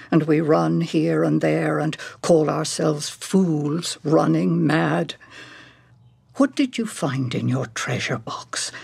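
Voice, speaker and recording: An actress in a radio drama playing a probing, insistent counselor who speaks deliberately and philosophically, posing question after question. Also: female voice, fairly low pitch, gravelly, darkish tone, husky, no echo, full character voice. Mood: quietly probing.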